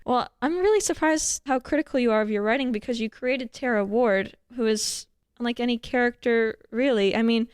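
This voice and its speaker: A woman's voice: fairly high pitch, fairly quick, hardly any pauses, smooth, no echo, clean studio sound. A woman hosting an interview podcast, giving short encouraging replies and asking long questions. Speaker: podcast host. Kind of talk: casual interview.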